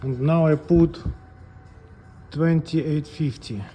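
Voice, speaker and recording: Man, slightly high pitch, very soft dark timbre, halting hands-on instruction, faint background noise. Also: steady tone, faint music behind. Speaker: presenter of a scale calibration tutorial. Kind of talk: unscripted step-by-step technical demonstration.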